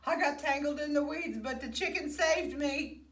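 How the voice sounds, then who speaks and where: Casting: excitable young girl frog for a storybook, played by a woman, high voice, roomy distant sound. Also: moderate pace. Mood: relieved, excited. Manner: exclaiming, wide-eyed. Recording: roomy, echoey, distant microphone.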